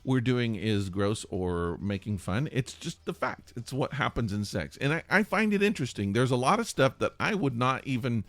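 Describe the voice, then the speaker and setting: A man's voice: mid-range voice, very animated, normal speed, few pauses, fairly bright tone, no room sound. A man co-hosting a podcast, chatting casually in a rambling way that often restarts sentences. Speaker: podcast co-host. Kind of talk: casual chat.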